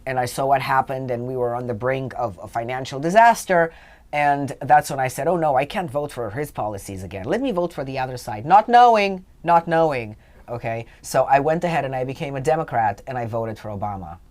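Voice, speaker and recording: A woman's voice: very deep voice, expressive, brisk, darkish tone. A middle-aged woman from Romania, raised in Canada, telling her life story as a radio guest in an earnest, emphatic way with a wry edge. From Romania, raised mostly in Canada.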